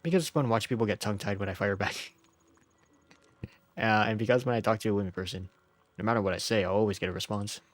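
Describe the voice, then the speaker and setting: A man's voice: fairly low pitch, fast, long pauses, no echo. A man who is under the weather, streaming a game with informal, jokey commentary and reading out story text. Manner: informal, jokey, often chuckling. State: sick.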